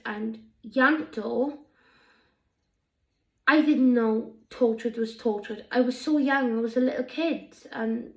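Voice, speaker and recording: Woman acting a slow-spoken Welsh teenage alien girl. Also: on the high side, gravelly, echoey room, low-quality recording. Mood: hesitant recollection. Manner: halting, reflective storytelling. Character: earnest sixteen-year-old Welsh-raised alien girl recounting her past. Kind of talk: improvised in-character monologue.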